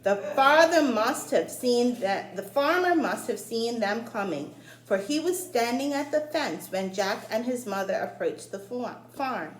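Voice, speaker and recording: A woman's voice: on the high side, a little slow. Woman reading a children's story, echoey room. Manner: expressive, addresses young listeners directly. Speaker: story reader, teacher-like host. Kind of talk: read-aloud storytelling for children. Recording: roomy echo, faint background noise.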